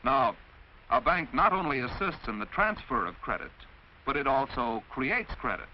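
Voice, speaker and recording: An American man reads a scripted mid-century instructional film narration in a didactic, measured, clearly enunciated way. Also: mid-range voice, big pitch swings. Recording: dull, noisy vintage film soundtrack.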